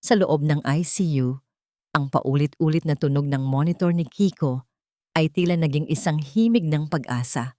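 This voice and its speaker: A woman's voice: low voice, normal speed, warm tone, dry recording. A woman reading a dramatic romance story as a scripted narration.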